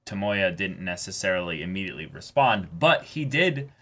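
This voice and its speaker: A man co-hosting a reaction show in casual discussion, explaining things in an animated way. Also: low voice, moderate pace. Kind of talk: casual discussion of an anime episode.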